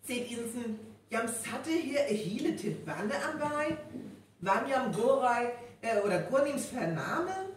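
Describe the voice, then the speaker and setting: A very reverberant live recording, picked up from a distance. A woman plays an excitable village gossip with a North Frisian accent, her pitch leaping about as she draws her words out for the radio play. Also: takes it slowly. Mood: questioning. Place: live stage performance venue. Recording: echoey live hall, distant mic, faint noise.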